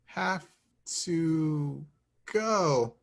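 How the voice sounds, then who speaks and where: A man reading the part of an awkward, eager, insecure young man in love, halting and sheepish, in a screenplay table read. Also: a little high, creaky, full character voice. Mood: flustered.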